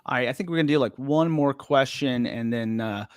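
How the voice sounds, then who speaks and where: Relaxed man hosting, dry close sound. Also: mid-range voice, brisk, smooth, no room sound. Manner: relaxed, hesitant, many uhs, jokey asides. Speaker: podcast host. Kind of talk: casual podcast conversation.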